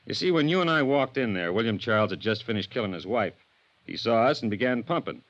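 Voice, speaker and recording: Tired, blunt police sergeant, low-voiced. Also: male voice, animated, quick pace, fairly bright tone. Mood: grim, weary. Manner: blunt, terse, slangy. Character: tired, tough but caring male police sergeant. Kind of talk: 1950s radio drama acting. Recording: dull, muffled vintage radio recording.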